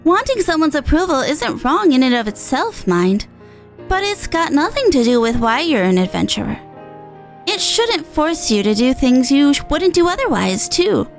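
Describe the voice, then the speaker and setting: A woman's voice: high-pitched, big pitch swings, normal speed, pitched above the performer's own voice. A woman voicing a small, motherly innkeeper from a video game, caring, folksy and encouraging. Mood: gently firm. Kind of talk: video game dialogue read in character. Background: game music.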